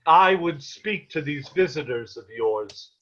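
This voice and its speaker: A man performs a protective, anguished peasant father in a period drama. His voice sits slightly high and he takes his time over ornate, archaic phrases. The sound is a little dull, like an online call. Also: expressive, a little slow, a bit bright. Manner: ornate old-fashioned diction. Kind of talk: dramatic table read of a period stage play. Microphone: video call.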